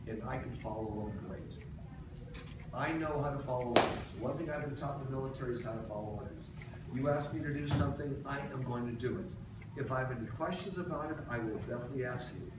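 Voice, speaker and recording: A man with a fairly high voice coaching an audience, talking in a direct, emphatic way and repeating key points. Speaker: speaker at a workshop for veterans. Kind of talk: coaching talk to a live audience. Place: large echoey room with an audience. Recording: roomy, distant, noisy.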